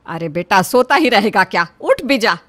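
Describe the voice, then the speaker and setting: A woman's voice: medium pitch, big pitch swings, moderate pace, fairly bright tone. A woman voicing an anxious middle-aged mother-in-law, nagging and urgent, in a cartoon story. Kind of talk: Hindi cartoon story acting.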